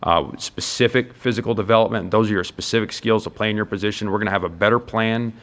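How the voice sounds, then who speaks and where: Low-voiced American football coach, earnest. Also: male voice, quick pace, a bit bright. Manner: earnest, emphatic, explains in enumerated points. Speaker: college football head coach being interviewed. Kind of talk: conversational sports interview.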